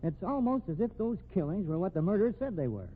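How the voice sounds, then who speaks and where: Insistent male amateur detective, 1950s radio drama. Earnest, slangy, lively intonation, thin tinny recording. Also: high voice, fast, slight background noise. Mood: frustrated.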